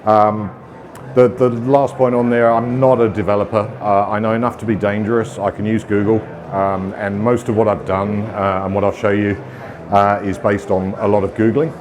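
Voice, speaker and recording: Brit guy, middle-aged, lowish voice, chatty tech talk, lots of ums, crowd noise behind. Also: flat delivery, moderate pace. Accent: British. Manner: casual, self-deprecating, frequent ums and uhs. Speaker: conference speaker. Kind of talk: technical conference talk. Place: conference room with audience.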